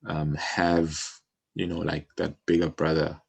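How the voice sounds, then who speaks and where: A man's voice: a bass voice, level tone, measured pace, vocal fry, breathy edge. A South African man speaking informally in a casual interview as a guest, thinking aloud as he goes. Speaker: music publisher, interview guest.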